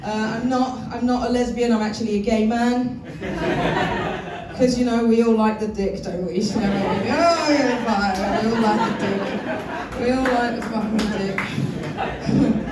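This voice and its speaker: A woman's voice: a little high, measured pace, a loud crowd. A woman with a London accent doing a crude, chatty stand-up comedy set in a club, swearing freely. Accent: London English. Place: comedy club stage with live audience. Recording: echoey club room, mic at a distance, audible room noise.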